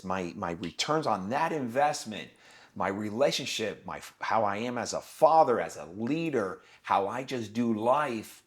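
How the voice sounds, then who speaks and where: A man in his fifties, a guest on a podcast, talking in an emphatic, preachy and blunt way. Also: on the low side. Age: 50s. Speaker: guest, business owner. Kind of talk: podcast conversation.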